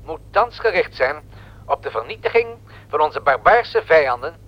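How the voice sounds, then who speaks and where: A man playing an earnest foreign correspondent, giving a formal, measured news report in a radio drama. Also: fairly high pitch, big pitch swings. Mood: formal, serious. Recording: muffled old radio, correspondent's telephone link.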